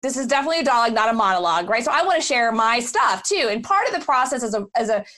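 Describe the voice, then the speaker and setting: A woman from Houston, hosting an interview in an emphatic, animated way. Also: on the high side, very fast. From Houston, Texas. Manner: emphatic, animated, talks over guest. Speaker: podcast host. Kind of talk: casual interview chat.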